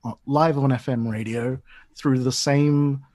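A man's voice: medium pitch, steady tone, a little slow, mellow. Chatty man with a wandering accent. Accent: mixed Australian, Dutch and British. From Australia. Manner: chatty and enthusiastic, lots of restarts. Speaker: podcast guest. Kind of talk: casual podcast chat.

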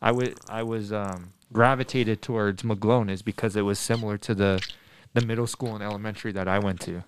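A man in his twenties from Denver, a middle school math teacher, answering students' questions in a thoughtful, earnest way, pausing often with ums and restarting sentences. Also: on the low side, steady tone, a little hiss or hum. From Denver, USA. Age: 20s. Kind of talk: casual interview answers to students.

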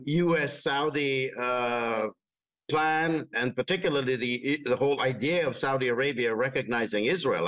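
Picked up some way from the microphone, a mature man offers political analysis on a panel. His mid-pitched voice is very hesitant, breaking unscripted thoughts into short fragments. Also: a bit bright, distant mic, poor audio. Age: middle-aged or older.

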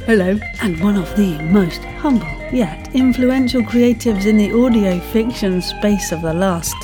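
A woman's voice: medium pitch, a little slow, over music. A woman hosting a podcast interview in an effusive, theatrical and whimsical way, full of playful wordplay. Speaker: podcast host.

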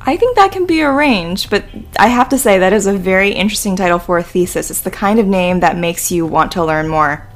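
A woman hosting a casual podcast who fires off quick questions. Her tone is friendly, with plenty of playful teasing. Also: mid-range voice, animated, faint music behind. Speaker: podcast host.

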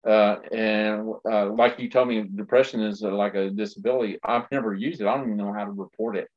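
A man's voice: on the low side, steady tone. A man in casual chat who rambles and stops to restart his sentences. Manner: rambling, halting, many restarts. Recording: dull lo-fi sound.

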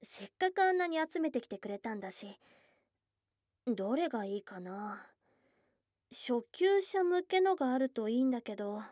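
A woman voicing a shy, tomboyish young goblin girl in an intimate ASMR voice drama, soft and breathy, often dropping to a whisper, close to the microphone. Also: a little high, sing-song, moderate pace, no echo. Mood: curious, puzzled. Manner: blunt tomboyish speech, tender and hesitant. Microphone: close, dry studio microphone.

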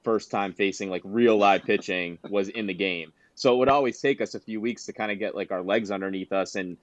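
Low-voiced man telling anecdotes, lo-fi sound. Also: fairly quick, no echo. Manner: rambling anecdotes broken by laughter. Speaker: podcast co-host. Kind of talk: casual chat, storytelling.

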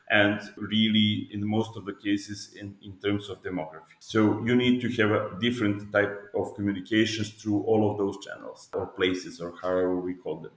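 A man's voice: fairly low pitch, echoey room. A man from Serbia with a Serbian accent, giving explanatory interview answers step by step with examples. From Belgrade, Serbia. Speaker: podcast guest, e-commerce business owner.

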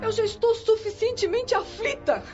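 A woman's voice: extremely high pitch, moderate pace, a little mellow. An actress playing a stern, exasperated mother in a radio drama, scolding in an imperious, melodramatic way. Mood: indignant. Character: stern, exasperated mother forbidding talk of the past. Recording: dull lo-fi sound over music. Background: music.

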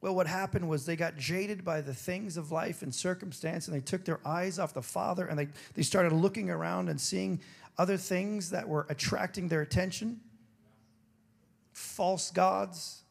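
Throaty, gravelly high-voiced male preacher. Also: moderate pace. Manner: emphatic preaching, shifting into casual asides. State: hoarse after singing. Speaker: pastor. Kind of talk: sermon.

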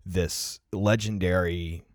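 A young man with a low-pitched voice talks in a relaxed, conversational podcast style. He explains his ideas reflectively, peppering them with filler words. The recording is dry, with very little room sound. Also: slow pace, no echo. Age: early 20s. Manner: reflective, explanatory, filler-heavy with "like" and "you know". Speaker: podcast co-host discussing favorite films.

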